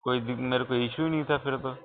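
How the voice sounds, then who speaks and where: A man's voice: medium pitch, fairly even intonation, brisk. Insistent Indian man on a tinny phone line. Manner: insistent, repetitive, aggrieved. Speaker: customer calling support with a complaint. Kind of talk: phone complaint call. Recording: tinny phone call recording.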